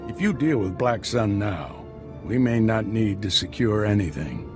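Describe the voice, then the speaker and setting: Low, composed Jedi mentor. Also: male voice, measured pace, music in the background. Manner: calm, authoritative. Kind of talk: video game character acting.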